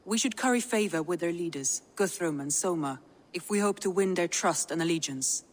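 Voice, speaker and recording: A woman's voice: on the low side, expressive, moderate pace, big-room sound. A woman acting as a composed Viking advisor in a game, briefing in a formal, explanatory manner. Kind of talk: dramatic game dialogue.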